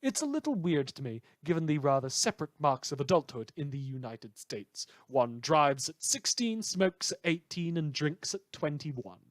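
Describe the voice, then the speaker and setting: Man playing a wry radio news presenter, audio drama, higher-pitched male voice, very animated intonation, theatrical, chatty sardonic asides. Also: normal speed, few pauses, no echo, clean studio sound. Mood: wry, musing. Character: opinionated, indignant, wry male small-station radio presenter. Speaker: fictional radio news host.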